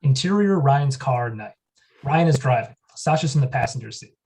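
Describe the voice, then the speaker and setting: A man's voice: mid-range voice, expressive, normal speed, darkish tone, slight background noise. A man reading the stage directions at a table read in a plain, matter-of-fact way.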